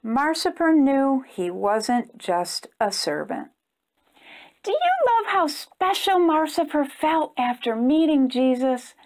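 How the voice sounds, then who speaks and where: A woman reads a children's storybook aloud. Her voice sits fairly high and moves with lots of melody. She takes her time, speaking expressively as a storytime host does for small listeners. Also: takes it slowly. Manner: expressive, simple phrasing aimed at young children.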